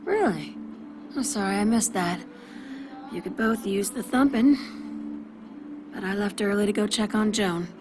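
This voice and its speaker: A woman's voice: medium pitch, expressive, measured pace, long pauses, noisy background, natural voice. A woman playing a blunt, sardonic, worry-worn working mother in a video game, folksy and plain-spoken. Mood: wry, blunt. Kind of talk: video game character dialogue.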